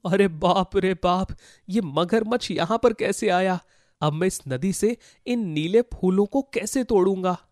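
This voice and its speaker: A man's voice: sits high, a little slow, a little mellow, no echo, studio quality. A man voicing a kind, brave boy in a children's story, speaking earnestly and with animation. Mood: alarmed. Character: kind, brave poor boy, eager to help. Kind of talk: Hindi children's moral story voice acting.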